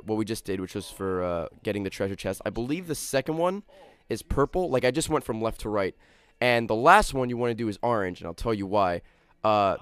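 A young man hosts a casual video game guide, his voice raspy and worn from overuse. He talks quickly and loosely, full of fillers, explaining each step over the gameplay at a fast clip. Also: mid-range voice. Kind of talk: casual gameplay walkthrough commentary.

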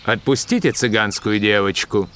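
A man's voice: on the low side, normal speed, fairly bright tone, slight background noise, close to an everyday voice. An actor dubbing a male dog musketeer posing as a haughty count in a cartoon. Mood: commanding. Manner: commanding and haughty. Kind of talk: cartoon dub acting.

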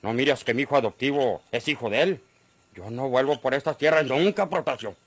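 A middle-aged Mexican countryman played by an actor in an old-style radionovela. He has a medium-pitched, dryly recorded voice and argues earnestly, shrewd and defiant, in rustic speech. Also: fairly quick, husky, no echo, natural voice. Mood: earnest, relieved. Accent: rural Mexican. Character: cornered ranch man, shrewd, pleading yet defiant.

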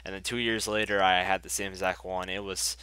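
A man's voice: sits low, little pitch movement, a little fast, sharp tone, smooth, no echo. A young man with a stuffy, congested voice, casually rambling and swearing as he comments on his game. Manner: rambling, profane, chatty. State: congested from a cold. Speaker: gaming YouTuber. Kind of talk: casual commentary over gameplay.

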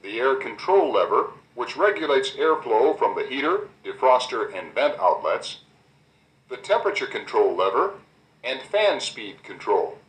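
An American man reading a scripted instructional guide, addressing the listener directly in a clear, explanatory way, on an old cassette recording. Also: medium pitch, big pitch swings, a little slow. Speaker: instructional narrator. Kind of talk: scripted car owner's guide narration. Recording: roomy replay of 1990 cassette tape.

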